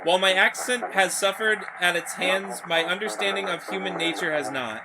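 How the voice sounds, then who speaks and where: A man voicing a solemn former diplomat and canal developer in formal, measured oratory, reading a horror story aloud. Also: high voice, very noisy. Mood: solemn, grandiose.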